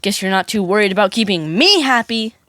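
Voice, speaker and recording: A man's voice: sits high, lively intonation, fairly quick, bright, forceful tone, no room sound, studio quality. An actor playing a stubborn, literal-minded boy in an audio comedy drama, snarky and questioning, with an American accent. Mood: argumentative, dry.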